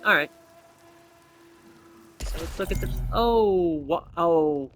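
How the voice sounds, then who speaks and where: A man's voice: high voice, takes it slowly, soft background music. A man giving casual gameplay commentary, thinking aloud and explaining each step to viewers. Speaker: video game player and commentator.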